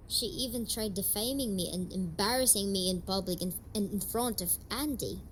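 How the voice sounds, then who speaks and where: A young woman playing a blunt, defensive tsundere delinquent girlfriend in an amateur romantic audio roleplay, speaking haltingly and swearing. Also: mid-range voice, a little hiss or hum, close to an everyday voice, low-quality recording. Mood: candid, bitter. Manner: hesitant, halting, foul-mouthed, defensive.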